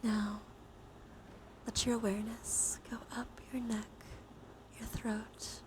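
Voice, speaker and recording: A woman guides a relaxation exercise in a gentle, mostly whispered ASMR style. Her voice sits fairly high and she takes her time, with long, unhurried phrasing. Clear ambient noise runs behind the voice. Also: little pitch movement. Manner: soft, mostly whispered delivery; soothing, gentle guiding. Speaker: live streamer leading relaxation exercises. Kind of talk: ASMR guided breathing and body scan with chat asides.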